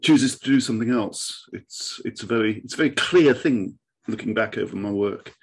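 A man's voice: on the low side, normal speed, gravelly, breathy edge. A man from England with an English accent, a writer talking reflectively and tentatively in casual conversation. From England, born in Oxford, adult life in Newcastle. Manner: musing, hesitant, self-qualifying. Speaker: writer, interview participant.

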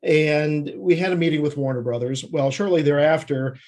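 A man's voice: on the high side, moderate pace, a little mellow. A man speaking as an interview guest, telling stories conversationally and acting out bits of dialogue as he goes. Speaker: podcast guest, screenwriter.

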